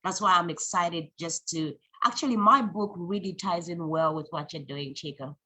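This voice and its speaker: A woman's voice: lowish voice, normal speed, sharp tone. A woman from Zambia, a guest in an interview, telling her story in an earnest, reflective way with frequent restarts. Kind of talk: conversational interview.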